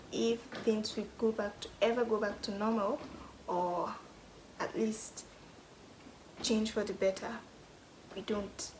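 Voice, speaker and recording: A young Nigerian woman answering get-to-know-me questions in a casual vlog, thoughtful, pausing often and sometimes dropping to a whisper. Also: medium pitch, noisy background. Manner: thoughtful, pauses with "hmm" and "um", drops to a soft whisper at times. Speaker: vlogger.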